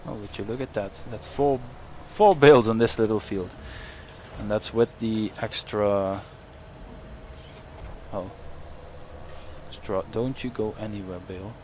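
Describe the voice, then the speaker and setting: A young man with a Dutch accent talks through his farming game in a relaxed, meandering way, often correcting himself mid-sentence. His voice sits on the low side. Engine rumble and game ambience run clearly beneath him. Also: unhurried. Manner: rambling, self-correcting, chuckles often. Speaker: gaming YouTuber. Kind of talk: casual gameplay commentary. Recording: game engine noise behind voice. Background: farm machinery and ambient game sounds.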